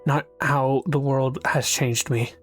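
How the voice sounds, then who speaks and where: A performer voicing a scornful, bitter figure who speaks with theatrical contempt and drawn-out emphasis. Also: medium pitch, fairly even intonation, faint music behind. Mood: defiant.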